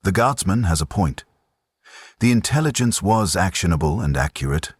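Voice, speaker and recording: Man playing a measured, low-voiced colonel. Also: animated, breathy edge, no echo, studio quality. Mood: probing. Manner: measured, controlled, firm. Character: senior male colonel, measured, pragmatic commander.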